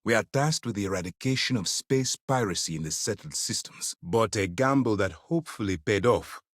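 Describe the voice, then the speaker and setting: A man playing a stern, principled space navy commander in a video game, speaking in a formal, measured, authoritative way. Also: lowish voice, expressive, no room sound, clean studio sound. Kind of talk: scripted game dialogue.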